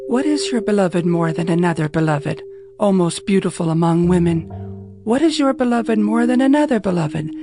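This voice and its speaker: Curious maiden of old Jerusalem. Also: female voice, fairly low pitch, animated, moderate pace, over music. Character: curious young woman of Jerusalem questioning the bride. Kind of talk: dramatized scripture reading.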